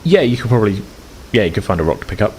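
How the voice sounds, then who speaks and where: A very low-pitched man runs a tabletop game, narrating in a loose, rambling way full of restarts and fillers. A faint noise sits under his voice. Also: sing-song, very fast, a little mellow, poor audio. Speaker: game master.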